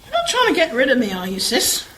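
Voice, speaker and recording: A woman's voice: sits high, wildly swooping pitch, fast talker, bright, forceful tone, full character voice. A woman playing a chatty, inquisitive village woman in an amateur radio play. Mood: chatty, teasing. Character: chatty, inquisitive village sister seeking company in London. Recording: slightly noisy amateur radio recording.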